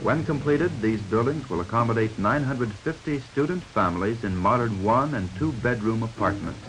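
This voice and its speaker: A man's voice: mid-range voice, expressive, moderate pace, poor audio. An American man reading scripted narration for a 1950s newsreel. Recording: 1950s newsreel soundtrack with music behind. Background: background music.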